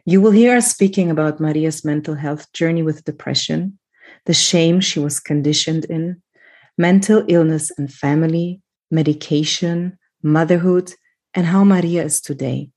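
Female podcast host, low register, husky edge, earnest and measured delivery. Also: a little mellow. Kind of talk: podcast introduction and interview.

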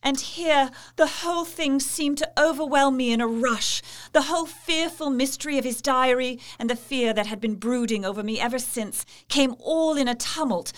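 A woman's voice: sits high, close to an everyday voice. An actress playing a polite, devoted young Victorian wife in a gothic audio drama, formal and heartfelt, as if telling her diary. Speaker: actress narrating and playing dialogue.

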